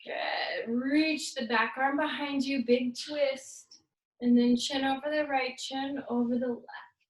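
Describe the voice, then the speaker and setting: A woman's voice: high voice, fairly even intonation, slow pace. A woman fitness instructor giving upbeat, playful workout cues, slipping in Spanish words. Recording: echoey room, voice far from mic.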